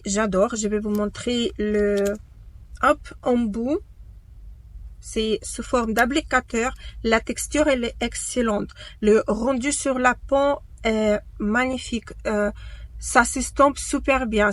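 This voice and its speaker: A woman who is not a native speaker, reviewing makeup in a casual vlog, hesitating often with fillers and restarts and praising products with eager superlatives. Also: fairly high pitch. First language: not French. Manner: enthusiastic superlatives. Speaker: beauty vlogger. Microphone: own microphone, at times off.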